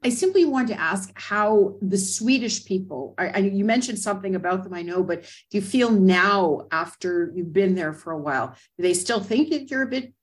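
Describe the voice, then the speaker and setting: A woman's voice: medium pitch, expressive, moderate pace, hardly any pauses. A woman hosting a talk, asking questions politely and a bit tentatively. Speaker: host. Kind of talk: moderating a Q&A.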